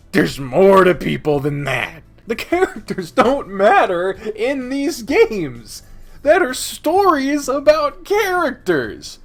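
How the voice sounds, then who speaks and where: A man's voice: a little high, vocal fry. A man giving a casual, opinionated video review, speaking bluntly and emphatically with exasperation and plenty of swearing. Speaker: video host reviewing games.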